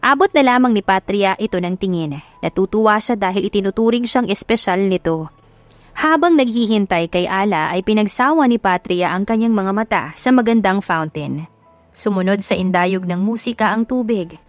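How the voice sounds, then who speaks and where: A woman's voice: medium pitch, animated, moderate pace, dry recording. Woman reading a love story audiobook, dry close sound w music behind. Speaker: narrator. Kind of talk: scripted audio romance narration.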